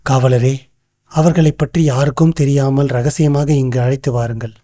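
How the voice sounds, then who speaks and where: A man acting a loyal, cautious royal minister in an animated folktale, with formal, measured court speech. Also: medium pitch, lightly acted. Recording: music under the voice.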